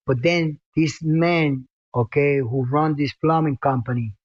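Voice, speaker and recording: A man in his fifties from Cuba, telling his story in a plainspoken, halting way, leaning on "you know" and "man.". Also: fairly high pitch. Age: 50s. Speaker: interviewee. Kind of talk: recorded interview tape. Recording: muffled tape with music underneath.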